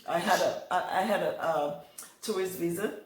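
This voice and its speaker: A woman's voice: on the low side, moderate pace. A middle-aged woman from Haiti, a guest telling her story with many fillers and frequent laughter. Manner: warm storytelling with hesitant fillers, laughs often. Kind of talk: casual interview talk. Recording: roomy sound from a distance, faint ambience.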